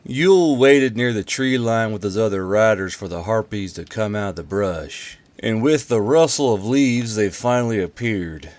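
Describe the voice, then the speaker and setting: Male narrator-host, lower-pitched voice, offhand and casual, for story readings and channel talk. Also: normal speed, hardly any pauses, darkish tone. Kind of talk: casual intro, then audiobook-style story narration.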